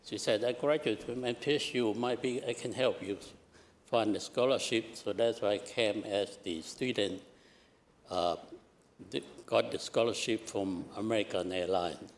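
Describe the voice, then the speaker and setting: Older lao-accented guy, halting farewell speech at a county meeting, slow and lowish. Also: a little hiss or hum. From southern Laos. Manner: halting, hesitant, restarts phrases. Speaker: retiring commissioner. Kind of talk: farewell remarks at a public meeting. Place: council meeting chamber.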